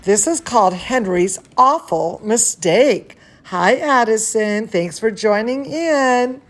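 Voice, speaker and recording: A woman's voice: on the high side, slow pace. A woman hosting a children's bedtime story, reading aloud with affectionate, chatty asides and exclamations for young listeners. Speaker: children's bedtime story host and cooking teacher.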